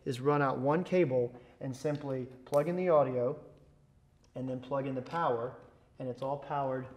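A man's voice: medium pitch, fairly even intonation, moderate pace. An American man in his thirties giving an instructional product tutorial in an explanatory, promotional way. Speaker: presenter, product education manager. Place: large indoor school band room.

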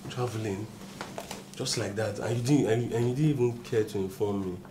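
An actor playing a hurt, protesting young married son in a film drama. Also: male voice, fairly low pitch, moderate pace, a little hiss or hum, lightly acted. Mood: hurt, indignant. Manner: questioning, protesting. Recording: loud music over the dialogue.

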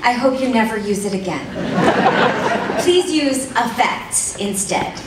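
A woman's voice: on the high side, expressive, unhurried. A middle-aged woman giving a theatrical, mock-indignant dramatic reading on a stage, stretching out words for effect. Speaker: stage performer reading letters. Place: large event studio stage with audience. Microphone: stand mic, recorded from far camera. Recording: echoey hall, distant mic, room noise.

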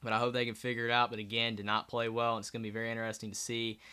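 Young male sports vlogger, bright crisp voice, earnest rambling delivery, tired, bedroom webcam. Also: medium pitch, completely flat delivery, very fast. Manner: rambling, restarts mid-sentence, earnest. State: tired, late at night. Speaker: sports channel host. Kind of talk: casual solo sports commentary. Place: small bedroom, indoors. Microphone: webcam at desk.

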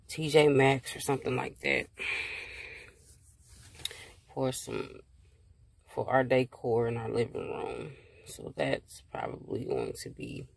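A woman in her thirties talks into a phone held at arm's length inside a parked car. Her voice is gravelly and her delivery unhurried. She rambles in a relaxed way, with plenty of fillers. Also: a bass voice. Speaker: vlogger. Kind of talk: casual car chat to camera.

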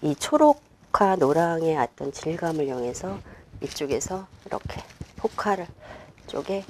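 한 중년 여성 꽃꽂이 강사가 실내 스튜디오에서 작업을 하며 설명하고, 말끝에서 자주 망설이며 혼잣말처럼 속삭이듯 말해요. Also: female voice, low-pitched, lively intonation, a little hiss or hum. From Korea. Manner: unhurried, talks while working, many fillers and half-whispered asides. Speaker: flower arrangement instructor. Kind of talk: demonstration lesson while working. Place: indoor studio with a flower table.

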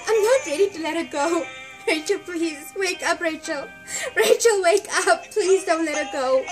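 Woman as distraught puppet friend, extremely high voice, wavering, pleading, halting, music behind. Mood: desperate, tearful. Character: grieving puppet friend Donna, pleading and praying. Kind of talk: amateur puppet-show acting.

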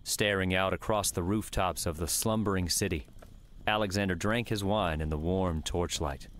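A man's voice: deep voice, moderate pace, a bit bright. A man narrating a scripted story in the third person as an audiobook reader.

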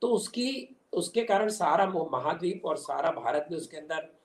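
A man's voice: fairly high pitch, expressive, normal speed. An older man giving emphatic, explanatory answers in a political interview, mixing in English terms. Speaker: interview guest, commentator. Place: small indoor room at home. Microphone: video call device. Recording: echoey room on video call.

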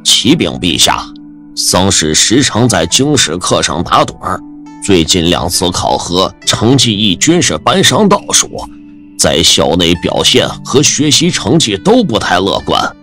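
A pedantic old court scholar in a period audio drama. His deep voice is hard-edged and very animated, formal and meticulous in delivery. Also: measured pace, soft background music, full character voice. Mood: stern, formal.

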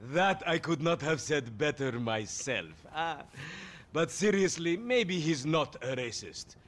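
A man acting a slick, theatrical middle-aged car dealer in a video game, with florid, drawn-out delivery. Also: on the high side, expressive, fairly bright tone, full character voice. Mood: needling.

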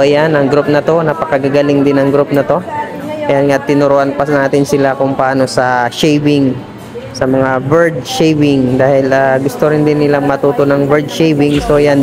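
Man, barber trainer, vlog voice-over, flat, fairly high voice, loud music under it. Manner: relaxed, many fillers.

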